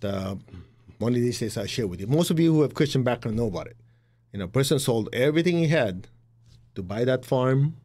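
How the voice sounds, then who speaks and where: A middle-aged spiritual teacher with a Chinese accent talks to his students plainly and bluntly. His voice sits in an ordinary middle pitch. He acts out the people he quotes and laughs at his own asides. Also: darkish tone. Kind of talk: motivational spiritual talk.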